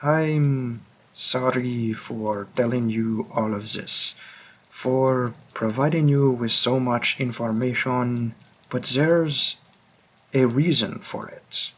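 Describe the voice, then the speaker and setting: A man playing a grim, driven vampire who hunts his own kind in an audio roleplay, speaking with an attempted French accent in a deliberate, halting, intense way. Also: mid-range voice, a bit bright. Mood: brooding, guilty. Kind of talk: audio roleplay spoken to the listener.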